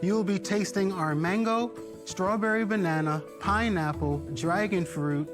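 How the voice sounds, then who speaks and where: A young man from the Bahamas, an entrepreneur delivering an upbeat, emphatic sales pitch to investors. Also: measured pace, music in the background.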